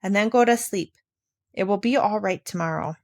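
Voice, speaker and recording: A woman reading aloud voices a kindly older male doctor in a storybook, speaking in a gentle, soothing way. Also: mid-range voice, moderate pace, lightly acted.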